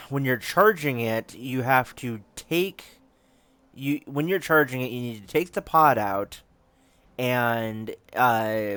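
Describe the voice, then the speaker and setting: A man's voice: mid-range voice, a bit bright, clear, resonant tone, no room sound. A man chatting casually and informally to viewers as host of a gaming channel, rambling a little. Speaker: host and player of a solo tabletop game channel.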